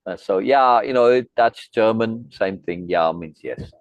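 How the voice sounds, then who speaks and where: A man's voice: lowish voice, a little slow, dry recording, dull audio. A middle-aged man from South Africa, hosting a video call and chatting in a friendly, rambling way, full of asides and restarts. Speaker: host. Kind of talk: casual chat on a video meeting.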